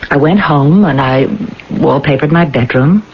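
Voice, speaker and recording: A woman's voice: low voice, moderate pace, close to an everyday voice. An actress playing a troubled woman in her thirties who confides hesitantly and earnestly, in 1980s radio drama style. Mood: matter-of-fact recollection. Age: 30s. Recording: dull, hissy old radio broadcast recording.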